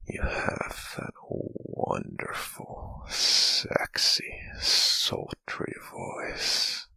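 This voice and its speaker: A man playing an adoring, sensual lover in a whispered intimate roleplay, breathy and murmuring tenderly close to the mic. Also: bright, forceful tone, no echo, exaggerated voice. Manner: slow, tender murmuring with lingering hums. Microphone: close mic, dry.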